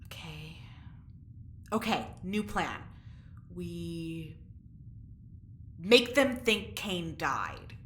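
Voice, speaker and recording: An actress playing an anxious, sharp-tongued young woman in a sci-fi audio drama, speaking bluntly and informally. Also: fairly low pitch, expressive, drawn-out words, long pauses, exaggerated voice. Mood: scheming, frustrated. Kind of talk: audio drama acting, naturalistic dialogue. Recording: roomy echo, faint background noise.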